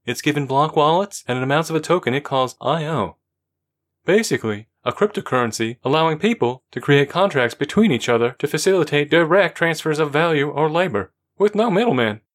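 An excitable tech enthusiast, played by a male narrator talks in a lifted, sales-pitch tone. Every point lands with emphasis. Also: a little fast, natural voice.

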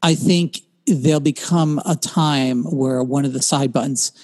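Man talking voice tech, halting. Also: mid-range voice, darkish tone. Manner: rambling, many fillers and restarts. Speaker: podcast co-host. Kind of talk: casual tech chat.

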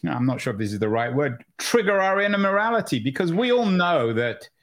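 A man's voice: fairly high pitch, very animated, a little fast, fairly bright tone. Man interviewing with probing questions. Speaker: podcast interviewer, host.

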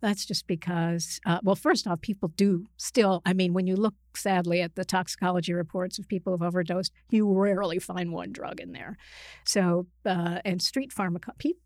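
A woman with a slightly low voice gives expert explanations in conversation. She is measured and analytical, pausing to correct and refine her wording. The recording is dry and free of room sound. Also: steady tone, darkish tone, dry recording. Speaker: expert guest, psychiatrist and author.

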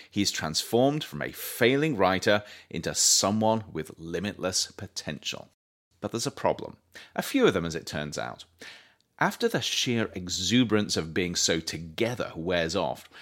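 A man reading his own nonfiction book aloud as a scripted audiobook narration, with expressive, deliberate, emphatic phrasing. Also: on the low side.

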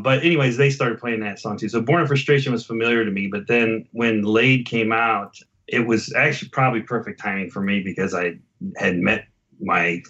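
A man's voice: fairly low pitch, sharp tone. A middle-aged American man chatting casually as a podcast guest, in a rambling, conversational way. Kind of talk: casual chat, reminiscing. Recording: slightly dull, lo-fi connection.

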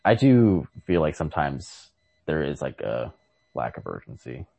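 A man with an extremely deep, gravelly voice chats informally about books as a podcast co-host. His delivery is halting and tentative, with repeated starts as he reasons things out. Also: very animated, mellow. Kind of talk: casual literary discussion.

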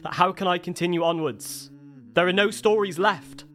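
A man playing a lonely, grieving man in an audio play, speaking a halting, introspective monologue. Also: high-pitched, sharp tone. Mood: forlorn. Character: grieving, lonely man questioning himself at a grave.

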